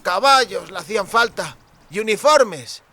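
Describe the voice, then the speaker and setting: Audiobook role: boisterous drunk country lad, very high strained voice, bright and forceful, rustic speech. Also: male voice, expressive, measured pace, exaggerated voice. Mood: indignant, gossiping. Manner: exclamatory, colloquial rustic speech with clipped words. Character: drunken, boisterous young cooper, rustic and friendly.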